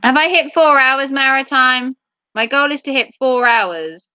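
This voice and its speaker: A woman livestreamer chatting playfully with her viewers, her voice going a little hoarse after hours of talking. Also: sits high, measured pace, bright, forceful tone, no room sound, muffled recording. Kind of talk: casual chat with viewers.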